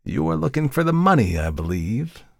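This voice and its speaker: A male reader puts on a devilish visitant from a literary storybook, uncannily courteous. The speech is measured, pleasant and calm, with a kindly severity. It is recorded very dry. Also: mid-range voice, vocal fry, dry recording. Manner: polite, measured, pleasant and unhurried. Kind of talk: dramatized literary storybook reading.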